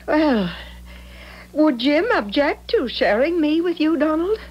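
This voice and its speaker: An actress playing a jilted, bitter young small-town mistress in a 1970s radio drama, pleading tearfully and repeating herself. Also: female voice, sits high, expressive, takes it slowly. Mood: bitter, resolved. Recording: dull 1970s radio recording.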